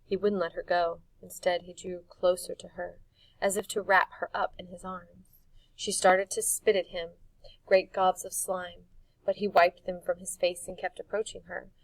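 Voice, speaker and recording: A woman reading a storybook aloud as an audiobook narrator, in an expressive storytelling style. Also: on the low side, normal speed, gravelly, no room sound, recorded from a distance, low-quality recording. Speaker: audiobook reader.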